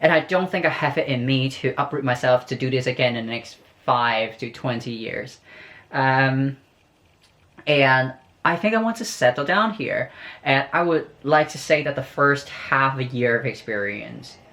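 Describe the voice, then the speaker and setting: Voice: mid-range voice, lively intonation, moderate pace, a bit bright. Candid, chatty young vlogger from Hong Kong, casual advice-giving delivery.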